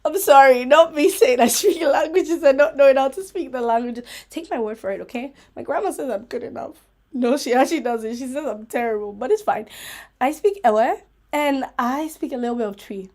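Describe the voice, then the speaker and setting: A woman hosting a casual, rambling livestream chat, playful, giggly and self-mocking, with an animated, emphatic way of talking. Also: high voice, fairly quick. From Africa. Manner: animated, emphatic, repeating herself for effect. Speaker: host of a chat vlog.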